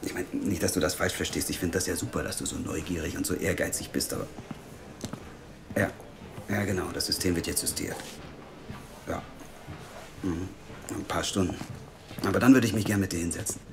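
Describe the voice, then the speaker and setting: An actor playing a calm, measured male team boss in an audio drama. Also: deep voice, creaky, low-quality recording. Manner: measured, quietly firm. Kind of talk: radio drama acting.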